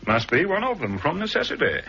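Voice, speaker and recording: A brisk, dry-witted agent. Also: male voice, a little high, wildly swooping pitch, sharp tone, full character voice. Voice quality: dry, crisp understatement. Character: brisk, wry government agent, cool-headed. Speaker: government security agent. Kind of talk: 1960s radio drama acting. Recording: dull archive radio recording with faint hiss.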